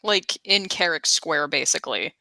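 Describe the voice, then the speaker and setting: A woman's voice: sits low, expressive, moderate pace, bright, forceful tone, no room sound. A woman playing a tabletop roleplaying game, talking casually with a wry, conversational manner.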